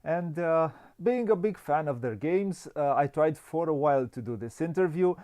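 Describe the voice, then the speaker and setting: A man's voice: fairly high pitch, animated, moderate pace, mellow. A man hosting a casual interview, talking hesitantly with many fillers and restarts. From Romania. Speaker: interview host.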